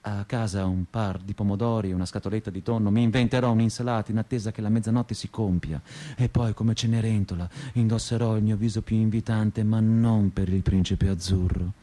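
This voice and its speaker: Low, mellow, restless young man, acted by a man. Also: fairly even intonation, a little fast, close to an everyday voice. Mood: restless, wry. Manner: intimate, confessional, crude-mouthed. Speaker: stage actor. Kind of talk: theatrical radio monologue.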